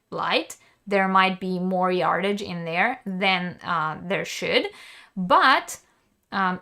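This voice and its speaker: Slow-talking woman teaching crochet. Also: medium pitch, a bit bright. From Israel. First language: Hebrew. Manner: explanatory, many ums and uhs. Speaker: crochet teacher hosting a live stream. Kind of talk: live-stream tutorial, reading chat.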